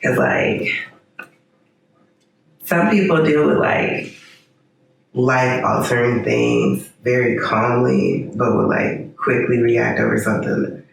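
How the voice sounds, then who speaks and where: A man's voice: mid-range voice, slow, gravelly, long pauses. A man in his twenties from Cleveland with a cold, speaking casually as a panelist. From Cleveland, United States. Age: 20s. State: has a cold. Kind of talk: casual group discussion. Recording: echoey, distant mic, faint noise.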